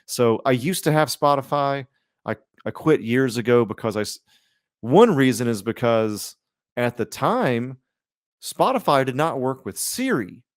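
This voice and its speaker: A man's voice: mid-range voice, animated, measured pace, no room sound, studio quality. A man co-hosting a podcast in casual chat, with a slangy, sweary, conversational way of talking. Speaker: podcast co-host.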